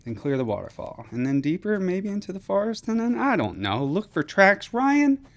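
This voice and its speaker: An actor playing a steady, affectionate commander in a sci-fi audio drama. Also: male voice, high-pitched, moderate pace. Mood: uncertain.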